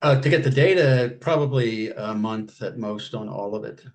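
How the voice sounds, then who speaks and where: An older man as an interview guest, talking casually in a halting way, repeating words and clearing his throat. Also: fairly low pitch.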